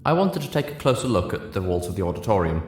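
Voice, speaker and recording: Deep voiced guy reading a fan fiction story out loud, first person narration. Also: big pitch swings, quick pace, a little hiss or hum. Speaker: reader of fan fiction show.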